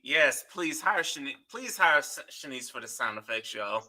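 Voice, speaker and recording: A man's voice: mid-range voice, lively intonation, moderate pace, a crowd in the background. A man hosting a podcast, talking loosely in casual chat and swearing freely. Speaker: podcast host.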